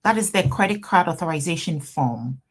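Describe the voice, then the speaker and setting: A woman's voice: sits low, lively intonation, moderate pace. Upbeat Ghanaian woman hosting a livestream. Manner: upbeat, repetitive, greeting viewers by name and place. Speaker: immigration attorney hosting a live Q&A. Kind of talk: casual livestream chat. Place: her office.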